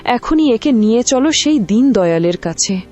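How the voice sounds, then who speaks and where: A woman's voice: fairly high pitch, animated, moderate pace, a little mellow. Cartoon mother, grieving and begging, a woman plays her; muffled track, music. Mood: pleading. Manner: tearful, begging. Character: grieving village mother, pleading.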